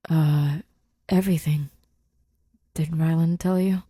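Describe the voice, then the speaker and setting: Casting: female audiobook reader, lowish and husky-breathy, subdued, halting, intimate storytelling. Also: fairly even intonation, mellow, no room sound, clean studio sound. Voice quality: breathy, often near a whisper. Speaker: podfic reader. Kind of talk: scripted fiction narration, intimate and subdued.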